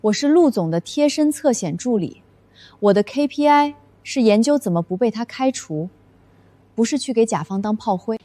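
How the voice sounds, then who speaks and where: An actress playing a feisty, sarcastic young woman working as an office assistant in an animated drama. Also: a little high, normal speed, close to an everyday voice. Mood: indignant. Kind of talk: dubbed animated workplace comedy drama.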